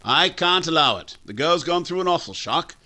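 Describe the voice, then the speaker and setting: An actor playing a firm, protective small-town doctor with an authoritative manner, in old-time radio style. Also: male voice, on the high side, lively intonation, moderate pace, bright, forceful tone. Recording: faint noise, clicks.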